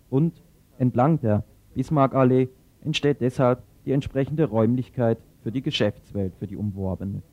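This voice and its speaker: A man reading a scripted political report as a radio reporter. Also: medium pitch. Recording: dull lo-fi radio recording.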